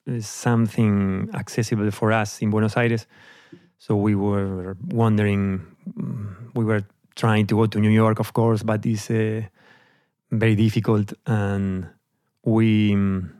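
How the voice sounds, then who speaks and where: A middle-aged man from Argentina with an Argentine accent and Spanish as his first language, telling his story as an interview guest with hesitant pauses and fillers. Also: fairly low pitch, level tone, darkish tone, no echo, clean studio sound. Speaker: guest musician.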